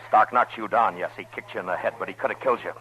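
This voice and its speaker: An actor playing a stern frontier marshal in a radio western, with an American accent and blunt, laconic authority. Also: male voice, lowish voice, racing, background noise, close to an everyday voice. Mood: commanding, urgent. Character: stern, steady frontier US marshal of Dodge City. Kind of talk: 1950s radio western acting. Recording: muffled old-time radio recording.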